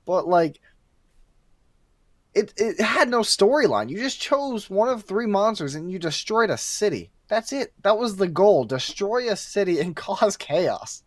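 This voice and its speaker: Male guest for casual podcast, fairly high voice, emphatic and opinionated, dry close recording. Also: sing-song, measured pace, no echo. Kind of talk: casual chat about games and movies.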